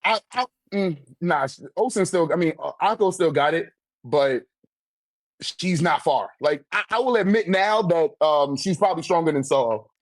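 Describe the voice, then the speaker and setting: Man, American accent, slightly high voice, casual discussion, heavy slang and swearing, conversational fillers, dry room-free sound. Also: expressive, moderate pace, dry recording. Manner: slangy, profane, repeats "like" and "you know what I'm saying". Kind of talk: casual chat, fan debate.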